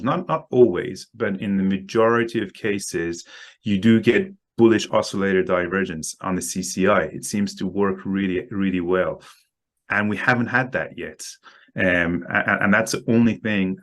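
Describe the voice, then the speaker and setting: A man talking as a guest market analyst in a casual interview, halting and often repeating words as he explains. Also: fairly low pitch, a bit bright.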